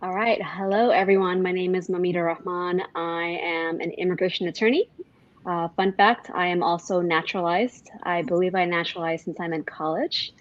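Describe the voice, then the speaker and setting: A woman's voice: lowish voice, steady tone, moderate pace. A woman contestant introducing herself on a video call in a friendly way with fillers. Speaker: contestant, immigration attorney. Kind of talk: casual self-introduction. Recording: dull lo-fi video call audio.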